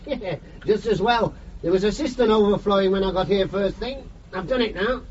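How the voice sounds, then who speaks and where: An actor playing a placating, unassuming middle-aged chauffeur with a working-class English accent in a British radio play. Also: male voice, sits high, moderate pace, close to an everyday voice. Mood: deferential. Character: mild, deferential working-class chauffeur, conflict-avoiding family man. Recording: muffled radio drama, clear background noise.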